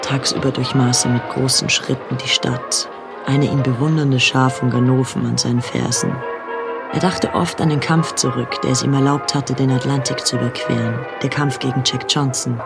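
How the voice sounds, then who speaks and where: A man as the storyteller of a radio drama, giving sober, measured scripted narration. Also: mid-range voice, fairly even intonation, gravelly, close to an everyday voice. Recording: music under the narration.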